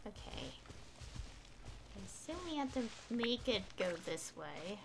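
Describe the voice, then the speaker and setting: A woman's voice: medium pitch, sing-song, measured pace, background noise. A woman streaming a game with casual live commentary, thinking aloud in a hesitant way and trailing off. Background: game music.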